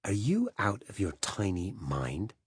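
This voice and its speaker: A man's voice: fairly low pitch, wildly swooping pitch, unhurried, husky, no echo, studio quality, exaggerated voice. A man voicing a blunt, sardonic American senior intelligence boss. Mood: scornful. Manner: brusque, sardonic.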